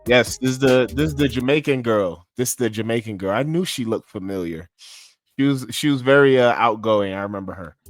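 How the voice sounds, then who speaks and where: A man's voice: medium pitch, a little fast, no room sound, soft background music. A man with a congested nose giving casual, slangy reaction commentary as host of a video.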